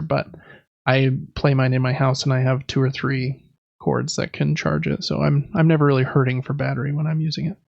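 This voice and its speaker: A man's voice: mid-range voice, vocal fry, no room sound, dull audio. A man co-hosting a gaming podcast, chatting casually in a rambling, enthusiastic, informal way. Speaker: podcast co-host.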